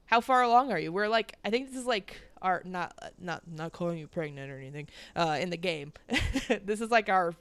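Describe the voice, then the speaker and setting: Gravelly-voiced woman, nasal from a cold. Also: on the low side, expressive, brisk, hardly any pauses, a bit bright. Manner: chatty, rambling, laughs often. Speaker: game streamer. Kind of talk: casual chat while playing.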